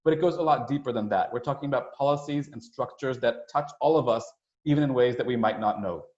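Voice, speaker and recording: A man's voice: medium pitch, darkish tone. Man presenting in a roomy, dull recording. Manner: halting, with frequent hesitations and hedging asides. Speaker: United Nations staff speaker presenting a webinar. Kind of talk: educational slide presentation, explanatory and conversational. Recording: roomy, dull webinar audio.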